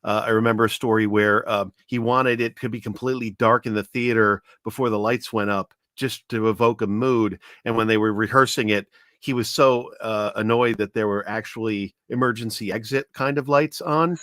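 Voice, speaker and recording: A man's voice: on the low side, fairly even intonation, moderate pace, few pauses, a bit bright, no echo. Conversational man co-hosting, telling anecdotes, dry sound. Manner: conversational, acts out quoted lines. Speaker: co-host and commentator. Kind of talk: casual discussion with anecdotes.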